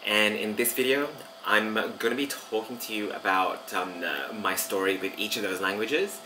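A man in his thirties with an Australian accent, a language teacher chatting casually to camera with many fillers and pauses, seated in a small room. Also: on the low side, noisy background. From Vanuatu. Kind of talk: casual video talk to camera.

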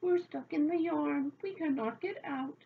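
A woman's voice: high-pitched, a little slow, gravelly, phone-quality sound, slight background noise, voice pushed up. A woman voicing helpless talking household objects, answering plaintively that they are stuck.

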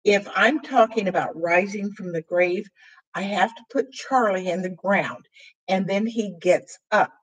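Older woman, sign language teacher; hoarse, breathy voice, unhurried, halting delivery. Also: mid-range voice, fairly bright tone, muffled recording. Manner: slow, halting, with frequent fillers while signing. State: voice weakened and hoarse after throat intubation. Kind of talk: slow explanatory sign language lesson. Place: small room with dark curtain backdrop, indoors.

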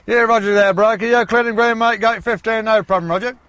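A man's voice: high voice, steady tone, fairly quick, fairly bright tone, slight background noise. An English man in his sixties, a truck driver, chatting casually in his cab. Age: 60s. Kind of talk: casual chat.

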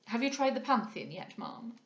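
Woman playing an affected, pompous young-gentleman captain. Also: fairly high pitch, lively intonation, normal speed, roomy sound, highs cut off, lightly acted. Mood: smug, affected. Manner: pompous, affected, peppered with French words. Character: affected, self-satisfied fop of a captain. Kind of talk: dramatised audiobook character voice.

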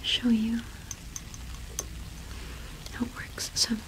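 A young woman doing an ASMR shopkeeper roleplay in a gentle, unhurried whisper with pauses, close to the microphone. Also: rough recording. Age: young adult. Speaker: ASMR performer playing a cottage witch shopkeeper.

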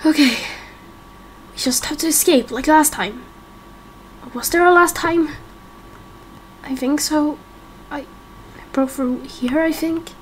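A woman playing a confused, frightened young amnesiac in a game roleplay, speaking in halting fragments, with some background noise. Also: high voice, low-quality recording. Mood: anxious, confused. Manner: halting, fragmented, self-questioning. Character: confused, frightened young amnesiac part-creature, vowing peace. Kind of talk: amateur game roleplay acting.

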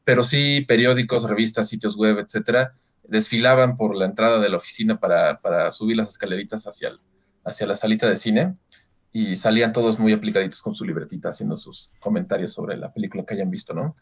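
A Mexican man with a somewhat low voice talks casually as a video-call guest. He is in his thirties and phrases things in a slangy, easygoing way. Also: steady tone, fairly quick. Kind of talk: casual chat. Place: indoor room on a video call.

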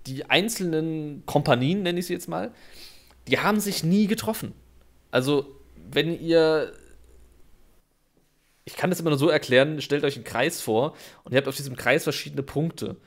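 Man, podcast co-host, slight cold, chatty, explanatory, filler-heavy. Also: on the high side. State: has a cold, coughing.

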